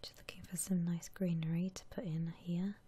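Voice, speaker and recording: A woman whispering softly and breathily into a close microphone, musing aloud in casual ASMR gameplay commentary. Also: fairly low pitch, little pitch movement, normal speed. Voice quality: soft breathy whisper, almost entirely unvoiced. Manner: gentle, musing aloud to herself. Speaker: ASMR gamer narrating her house renovation gameplay.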